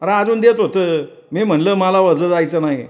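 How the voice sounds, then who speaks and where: A pushy village farmhand, as a man acts him out, loud and chatty, his voice pitched well up and full of coaxing banter. He boasts and wheedles in a storybook reading. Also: normal speed, close to an everyday voice. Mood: boisterous, coaxing.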